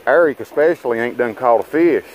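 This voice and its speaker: A man's voice: mid-range voice, fairly quick, lo-fi sound, slight background noise. Folksy man fishing a riverbank. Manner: folksy, rambling, talks to viewers as guys and boys. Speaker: fishing vlogger. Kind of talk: casual running commentary while fishing. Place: outdoors on a rocky riverbank. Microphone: body-worn action camera.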